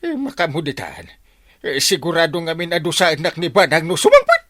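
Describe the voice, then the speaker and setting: An actor playing a cowardly village captain in Ilocano radio comedy, stammering and whining with a Filipino accent. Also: male voice, fairly high pitch, wildly swooping pitch, brisk, fairly bright tone, no room sound, clean studio sound, exaggerated voice. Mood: flustered, evasive. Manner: stammering, repeated "eh".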